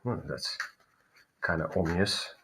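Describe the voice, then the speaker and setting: A man with a Finnish accent and a fairly low voice reads tarot cards live. He thinks aloud as he goes, pausing and hunting for the right word before settling on his reading. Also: vocal fry, noisy background. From Finland. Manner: thinking aloud, searching for words. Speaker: tarot reader.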